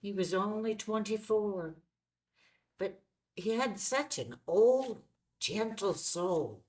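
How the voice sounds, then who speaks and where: A woman's voice: medium pitch, lively intonation, a little mellow. A woman playing a kindly, folksy small-town diner owner and mother in a film script table read. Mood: wistful. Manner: folksy, unhurried.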